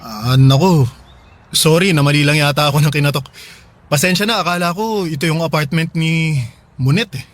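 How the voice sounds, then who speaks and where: A man's voice: fairly high pitch, moderate pace, a bit bright, natural voice. A male actor playing an earnest husband and father in a radio drama. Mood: hopeful, polite. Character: earnest husband and father, trusting, then suspicious.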